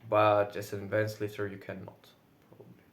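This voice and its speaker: A man's voice: on the low side, completely flat delivery, brisk. Russian-accented guy just chatting to his viewers, loose and casual, stops to hunt for words, swears a bit. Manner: says he is loud most of the time. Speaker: video creator. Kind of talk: casual solo talk to camera.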